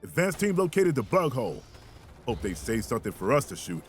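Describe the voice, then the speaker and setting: A male actor plays a gruff, sardonic infantry officer for a military video game. His delivery is commanding and very animated, with wry clipped phrasing over clearly audible music. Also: medium pitch, fairly quick, lightly acted. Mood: stern briefing. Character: gruff, sardonic mobile infantry field commander barking orders. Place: studio voice recording, music behind.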